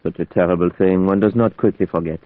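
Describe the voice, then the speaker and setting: Man as a grave, low-voiced old professor. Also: steady tone, no echo, close to an everyday voice. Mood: sorrowful, gentle. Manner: solemn, deliberate, foreign phrasing. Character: grave, insistent old Dutch professor, vampire hunter. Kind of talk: old-time radio drama acting. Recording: muffled, narrow-band old radio recording.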